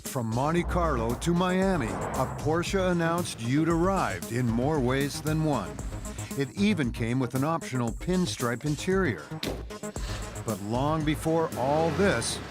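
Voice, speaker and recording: A man's voice: a little high, animated, unhurried. Wry male documentary narrator over music.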